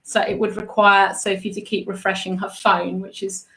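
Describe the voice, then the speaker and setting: A woman's voice: medium pitch, level tone, moderate pace, bright, forceful tone. An Australian woman hosting a live-streamed interview in a bubbly, upbeat, chatty way. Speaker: host and art business mentor. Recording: echoey room.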